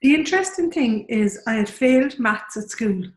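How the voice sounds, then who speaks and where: A woman's voice: fairly high pitch, lively intonation, normal speed. A woman co-hosting a book club in an informal, motivational style, speaking emphatically and repeating phrases to make her point. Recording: roomy, echoey sound.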